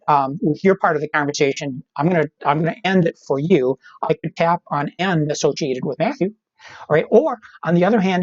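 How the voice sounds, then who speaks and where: A middle-aged instructor walks listeners through a tech lesson one step at a time. The voice sits at a medium pitch and often pauses to check understanding. The sound is close and dry, with a slightly dull, lo-fi online quality. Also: a little mellow, no room sound. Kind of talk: live online tech training, explaining steps.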